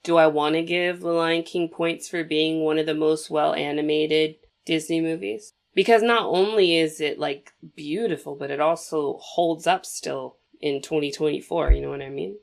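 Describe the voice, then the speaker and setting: A woman's voice: sits low, steady tone, moderate pace, creaky, hardly any pauses. A young woman hosting a casual movie commentary, chatty and opinionated, talking straight to viewers while sniffly from a cold.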